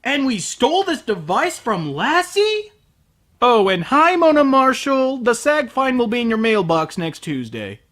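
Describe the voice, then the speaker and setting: A man's voice: very high-pitched, wildly swooping pitch, a little slow, fairly bright tone. Sarcastic ranting man reviewer. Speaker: anime reviewer host. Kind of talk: comedic review narration.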